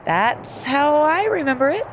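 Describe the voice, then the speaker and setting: A woman's voice: high-pitched, big pitch swings, unhurried, slight background noise. A woman playing a gracious, supportive veteran female wrestler with a wry touch in a video game cutscene. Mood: warm, amused.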